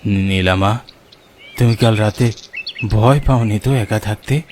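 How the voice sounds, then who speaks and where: A man's voice: on the low side, a little slow, a little mellow, slight background noise, close to an everyday voice. A man voicing a concerned middle-aged father in a horror cartoon. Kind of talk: cartoon horror drama dialogue.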